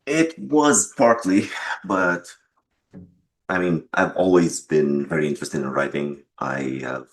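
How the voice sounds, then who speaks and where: A man answering interview questions as a game developer and writer, explaining things in a measured way. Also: low voice.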